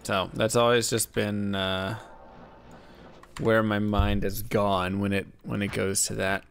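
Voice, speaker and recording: A man with a deep voice, chatting casually and in a laid-back, rambling way as he streams a game from a desk microphone. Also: level tone, slow pace, vocal fry, a bit bright, slight background noise. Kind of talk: casual chat while gaming.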